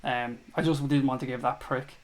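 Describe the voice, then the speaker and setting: A man's voice: medium pitch, steady tone, normal speed. A man hosting a live fan chat show, reading viewer comments aloud and answering them in a blunt, jokey, sweary way.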